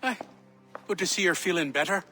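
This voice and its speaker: A crotchety old Irish townsman, played by a male game actor, blunt and grumbling but kind at heart. His voice sits quite high for a man, and music plays clearly behind him. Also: a little fast, close to an everyday voice. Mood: grumpy, mocking. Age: older. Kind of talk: video game cutscene acting.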